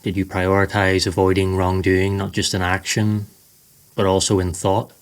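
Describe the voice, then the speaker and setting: A man narrating a scripted guided meditation in a slow, soothing, measured way. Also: low voice, monotone.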